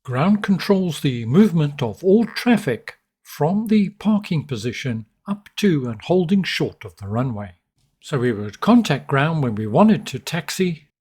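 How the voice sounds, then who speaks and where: A man's voice: fairly high pitch, sing-song, unhurried, a little mellow, husky. A man narrating an instructional tutorial in an orderly, explanatory way. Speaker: flight sim tutorial narrator.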